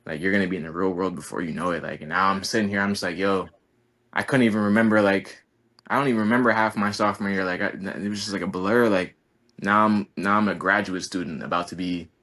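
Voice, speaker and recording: A young man in his twenties with a deep, low-set voice. He chats casually and earnestly, stringing thoughts together with endless "like"s and restarts. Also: steady tone, quick pace, a bit bright. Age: 20s. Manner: rambling, heavy use of "like", earnest. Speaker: college basketball player, guest. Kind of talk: casual podcast conversation.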